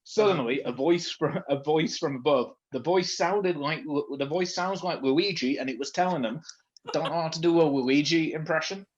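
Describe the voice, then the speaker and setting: A man's voice: on the high side, moderate pace, highs cut off. A man reading fan fiction aloud as comedy, breaking off for joking asides. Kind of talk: comedic read-aloud of a story.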